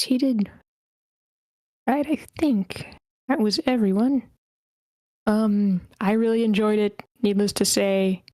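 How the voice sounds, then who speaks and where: A woman's voice: medium pitch, a little mellow, no echo. A woman hosting an online table read, giving informal, self-deprecating feedback and swearing casually. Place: online group meeting.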